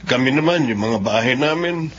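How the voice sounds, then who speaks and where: A man's voice: mid-range voice, normal speed, lightly acted. A man in a radio drama playing an earnest Filipino neighbour pleading on behalf of a friend. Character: pleading adult male neighbour standing up for friend. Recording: dull lo-fi audio with background noise.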